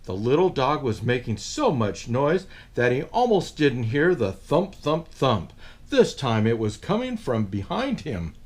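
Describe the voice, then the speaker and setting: Animated man reading a picture book aloud. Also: mid-range voice, a little slow. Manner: animated, with playful asides to young listeners. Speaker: storyteller in a Santa persona.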